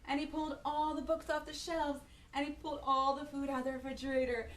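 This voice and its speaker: A woman hosting storytime for young children, reading a picture book aloud in an expressive, gasping manner and putting questions to her little listeners. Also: high voice, quick pace. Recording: echoey room with faint background noise.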